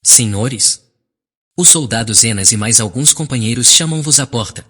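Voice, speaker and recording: A man's voice: medium pitch, lively intonation, moderate pace, pushed and bright, breathy edge. A man playing an astonished young servant who announces news urgently in an audiobook drama. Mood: alarmed.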